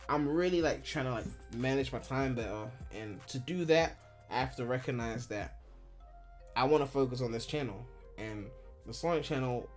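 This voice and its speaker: Rambling young man streaming from home. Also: medium pitch. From Ghana. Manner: rambling and candid, heavy on filler "like", swears freely. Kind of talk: casual live-stream chat answering viewers.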